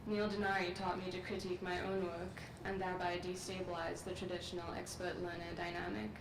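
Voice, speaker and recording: A young woman from Sri Lanka giving a measured, earnest graduate speech at an outdoor commencement through a podium microphone. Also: on the low side, little pitch movement. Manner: measured, earnest, reflective delivery. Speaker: graduate student speaker. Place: large outdoor courtyard ceremony. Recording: echoey, distant, with noise.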